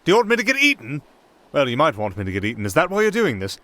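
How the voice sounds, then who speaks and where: A man's voice: a little high, huge pitch swings, rapid-fire, bright, forceful tone, heavily acted. A man playing a wry, nervy castaway entertainer in an ASMR roleplay, sarcastic and exasperated as he talks to an unheard partner. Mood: indignant, sarcastic. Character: young male wandering entertainer, sardonic, reluctant, nervy castaway. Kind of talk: ASMR roleplay acting, one-sided dialogue.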